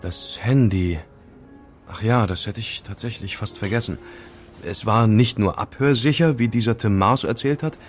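An actor playing a casual amateur sleuth who recounts intrigue in a wry, conversational way in an audio drama. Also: male voice, on the low side, moderate pace, soft background music, close to an everyday voice. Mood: explaining. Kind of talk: German audio drama dialogue.

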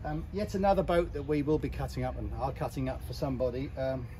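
A man's voice: medium pitch, fairly even intonation, fast talker, warm tone. A man in his fifties talking candidly and thinking aloud as he works on a boat in an outdoor yard, recorded on a nearby camera. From England. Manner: chatty and candid, thinking aloud while working. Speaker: boat breaker. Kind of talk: hands-on yard vlog. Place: outdoor boatyard. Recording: outdoor noise with birds and traffic.

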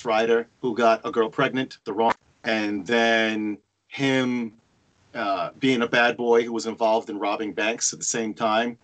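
A man of medium pitch gives feedback over a video call. He talks casually and haltingly, thinking aloud and building his point in short phrases. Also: level tone, a bit bright, rough recording. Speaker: table-read participant giving feedback. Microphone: video-call microphone.

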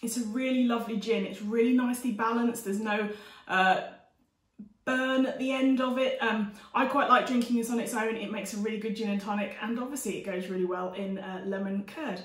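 A woman's voice: fairly high pitch, fairly even intonation, fairly quick. A woman in her forties presenting an informal cookery demonstration to camera in a chatty way, recorded in a dining room. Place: domestic dining room, indoors. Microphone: camera a few steps away. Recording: roomy, distant camera microphone.

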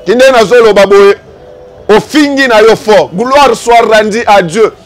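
A man's voice: sits high, measured pace, soft background music. A man with a Congolese accent preaching a fervent evangelical sermon, exhorting and accusing with repeated rhetorical questions.

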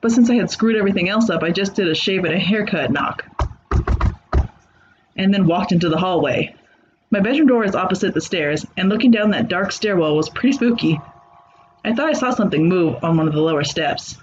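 High-voiced man narrating a comic creepypasta. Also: fairly quick, lo-fi sound, slight background noise. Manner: deadpan, sarcastic storytelling.

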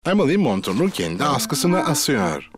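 A man's voice: medium pitch, big pitch swings, moderate pace. A man narrating a children's cartoon in a scripted storytelling style. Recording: loud music behind the voice.

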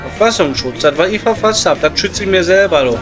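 Man acting a high-voiced grumbling Ruhr coal miner. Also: lively intonation, fairly quick. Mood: grumpy. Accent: Ruhr area German. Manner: grumbling, coarse, colloquial. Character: gruff, foul-mouthed male coal miner drinking at his pub. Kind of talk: improvised comedy audio play. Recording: music behind the voice.

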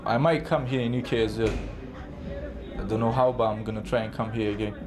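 A man's voice: fairly low pitch, fairly even intonation, brisk, crowd noise. A man, a prison inmate whose first language is not English, speaking bluntly in broken English. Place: prison cell.

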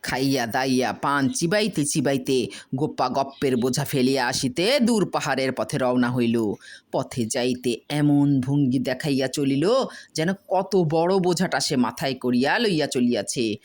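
A woman storyteller giving an expressive scripted narration of a humorous folk tale. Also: extremely low pitch, normal speed.

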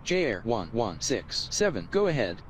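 A man's voice: lowish voice, big pitch swings, normal speed. A man's synthetic-sounding voice giving scripted air traffic control instructions in stitched-together phrases. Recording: faint background noise.

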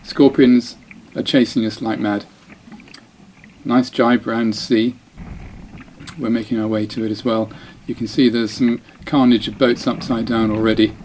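A man's voice: medium pitch, darkish tone, a little hiss or hum, poor audio. Chatty man commenting on a race. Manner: chatty, self-deprecating, breaks off mid-phrase. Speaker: dinghy racing sailor. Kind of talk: voice-over commentary on race footage.

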